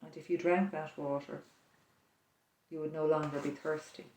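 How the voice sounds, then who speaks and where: A speaker voicing a calm, compassionate male stranger at a well, speaking in a quiet, relaxed way in a guided prayer. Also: medium pitch, normal speed, a little hiss or hum, natural voice. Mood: gentle. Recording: roomy echo, distant mic.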